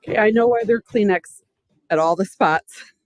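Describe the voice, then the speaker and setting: An older woman speaking on her late husband's behalf at an awards gala, heartfelt and halting, with personal stories. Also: mid-range voice, darkish tone, faint music behind. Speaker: award recipient's widow accepting on his behalf. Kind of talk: emotional acceptance speech.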